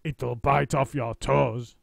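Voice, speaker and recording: Grumpy nasty old guy, man reading him, gruff and sneery, raised voice. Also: mid-range voice, fairly even intonation, slow pace, dry recording, studio quality, full character voice. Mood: sneering.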